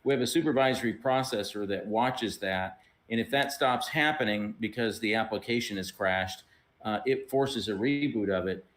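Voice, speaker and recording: Measured man explaining technical details. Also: fairly low pitch, fairly bright tone. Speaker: radio software engineer. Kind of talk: casual technical discussion.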